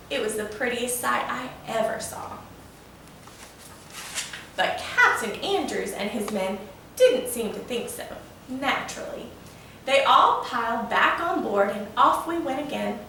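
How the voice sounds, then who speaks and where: A woman's voice: a little high, bright, forceful tone, heavily acted. A woman voicing a proud old male steam locomotive spinning a folksy, boastful yarn for a children's storybook. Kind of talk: children's storybook read-aloud. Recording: roomy, echoing, distant mic with faint noise.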